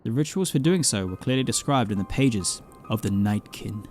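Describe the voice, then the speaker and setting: A man voicing a grave, scholarly mentor from a fantasy game, telling dark lore in a formal, deliberate storytelling manner. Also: on the low side, expressive, natural voice. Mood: grave, explanatory. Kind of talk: dramatic reading of fantasy game dialogue. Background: game music.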